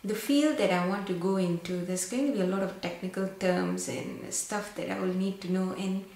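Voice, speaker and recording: A woman's voice: medium pitch, darkish tone. Chatty woman from Singapore in an echoey room. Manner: chatty, many fillers and restarts. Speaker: makeup vlogger. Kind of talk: casual to-camera Q&A vlog. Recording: very echoey room, faint background hiss.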